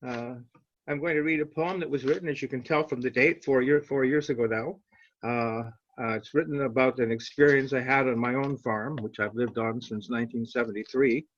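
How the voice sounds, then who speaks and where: A man's voice: mid-range voice, steady tone, brisk, dry recording. A man, presenting and reading his own poetry on an online call. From Canada. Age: 70s. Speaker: poet reading own work. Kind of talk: informal introduction and measured poetry reading. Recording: dull, lo-fi online call audio.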